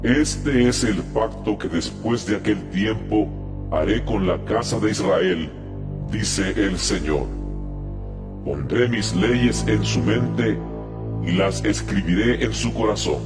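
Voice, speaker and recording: Male actor as majestic God for dramatized scripture. Low, very slow, solemn declaiming over clear music. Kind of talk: dramatized audio Bible.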